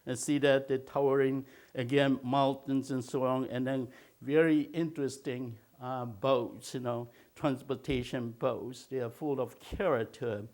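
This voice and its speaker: An older man from China, raised in Malaysia, teaching a watercolor technique in a slow, halting way with many filler sounds. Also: mid-range voice, fairly even intonation, a little mellow. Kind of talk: instructional video talk.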